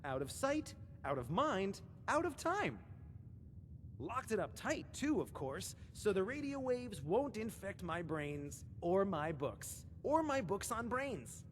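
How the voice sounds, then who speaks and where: A woman's voice: mid-range voice, sing-song, slow pace, in a large hall, slight background noise. A woman playing an eccentric, scatterbrained, book-obsessed librarian in a video game, rambling in sing-song rhymes and wordplay. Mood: agitated, evasive. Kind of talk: theatrical video game character acting.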